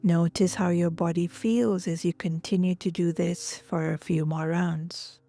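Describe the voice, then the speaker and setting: A woman guides a relaxation practice at a very unhurried pace. Her voice is mellow and fairly low, gentle and soothing, slipping at times toward a whisper. She gives breathing and movement cues as a yoga teacher would. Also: steady tone, gravelly. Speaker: yoga nidra instructor. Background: soft music.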